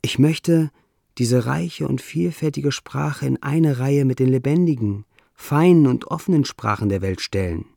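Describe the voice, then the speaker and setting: A man with a non-native German accent that struggles with umlauts, reading his own essay in a measured, deliberate way with dry, self-ironic humor. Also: medium pitch, creaky, dark tone, no echo. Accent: non-native German, trouble with umlauts.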